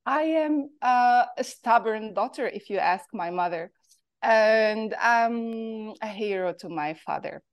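Moroccan woman, interview guest, higher voice, thoughtful and unhurried with many pauses. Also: animated. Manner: thoughtful, halting, pitch rising and falling. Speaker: guest, artist. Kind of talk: reflective interview storytelling.

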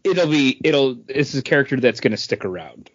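A man's voice: mid-range voice, animated, fairly quick, no echo, muffled recording. A man co-hosting a casual podcast, talking in an easygoing way and laughing often. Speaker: podcast co-host.

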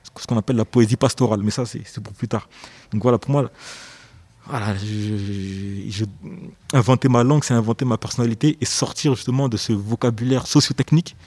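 A man's voice: mid-range voice, brisk, a little mellow, husky, no echo. A young man, an author being interviewed on the radio, speaking thoughtfully and hesitantly, often repeating words as he searches for phrasing. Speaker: author guest.

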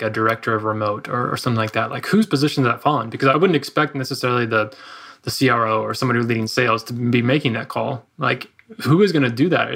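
A man hosting a podcast interview, brisk and friendly in how he asks questions. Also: medium pitch, vocal fry, fairly bright tone. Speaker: podcast host.

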